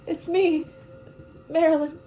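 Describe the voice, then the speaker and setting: Young stowaway woman, played by an actress, radio drama. High, mellow, tender and brave, earnest with hesitations. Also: fairly even intonation, takes it slowly, faint music behind. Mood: tender, sad. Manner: wistful, hesitant, emotionally earnest delivery.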